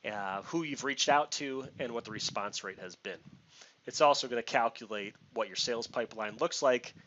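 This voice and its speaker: Man, mid-pitched voice, informal software demonstrator, step-by-step explaining, slightly muffled lo-fi mic. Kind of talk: casual screen-share product walkthrough. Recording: muffled lo-fi mic with background hiss.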